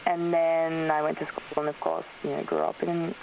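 Australian woman, twenties, low gravelly voice, chatty, tinny phone line, faint hiss. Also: flat delivery, fairly quick. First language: Polish. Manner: chatty, laughing often, many ums. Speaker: actress, interview guest. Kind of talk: casual interview chat.

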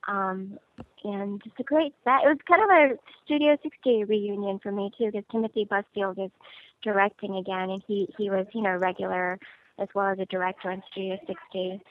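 An actress being interviewed over the phone, chatty and bubbly, laughing often. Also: female voice, medium pitch, fairly even intonation, fairly quick, dry recording. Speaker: actress guest. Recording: tinny phone line.

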